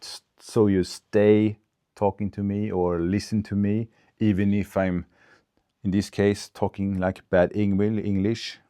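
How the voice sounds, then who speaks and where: A Swedish man in his forties, speaking English as a second language, thinking aloud in an unscripted vlog with many pauses, fillers and restarts. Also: on the low side, level tone, warm tone, smooth, dry recording, studio quality. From Sweden. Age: 40s. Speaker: vlogger. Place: recorded on a phone camera. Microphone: small clip-on wireless mic.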